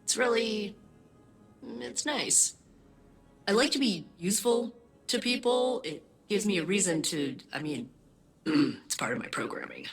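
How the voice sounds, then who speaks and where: A woman voicing a quirky, eager, helpful female ship AI in a video game, chirpy, robotic and expressive. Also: medium pitch, measured pace, long pauses, slightly rough, faint music behind. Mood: flat, sincere.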